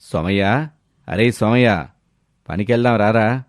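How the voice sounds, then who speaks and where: A man voicing an exasperated working villager who nags a lazy friend, in an animated moral story. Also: on the low side, a little slow, no room sound, clean studio sound, close to an everyday voice.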